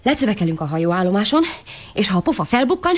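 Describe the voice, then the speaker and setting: A woman's voice: mid-range voice, big pitch swings, a little hiss or hum, close to an everyday voice. A woman in a radio play voicing a matter-of-fact, plain-spoken youngster from a detective gang. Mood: confident.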